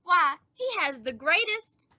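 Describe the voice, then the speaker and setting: A very high, bright-voiced teenage boy, played by a woman in an old-time radio comedy. He is lovesick and boastful, stretching his words with theatrical self-importance. The dry recording is slightly dull. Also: expressive, no echo, exaggerated voice. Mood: eager, confiding. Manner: drawn-out mock-dying groans and boyish bluster. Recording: dull, band-limited dry audio.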